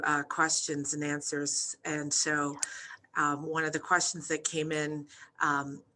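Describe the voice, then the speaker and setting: A woman's voice: low-pitched, pushed and bright. A woman moderating an online Q&A, effusive and hesitant, with many fillers. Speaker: event moderator.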